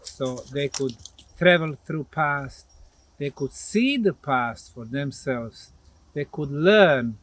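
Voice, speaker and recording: Slow-speaking man, higher-pitched. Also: animated, a bit bright. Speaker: presenter and researcher. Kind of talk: outdoor explanatory talk to camera. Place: outdoors at a grassy mound site. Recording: clear background noise, outdoor site recording.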